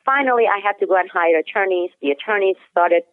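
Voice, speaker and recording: A woman's voice: medium pitch, fast, dry recording. Woman over a phone line. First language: Turkish. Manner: earnest, detailed, building emphasis on key points. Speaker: guest, former FBI language specialist. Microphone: telephone. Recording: dry tinny phone line.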